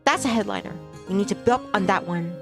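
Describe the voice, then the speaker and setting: A woman's voice: medium pitch, fast, creaky, lightly acted. Woman reading as a wry young woman. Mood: dry. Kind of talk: reading visual novel lines aloud. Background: game music.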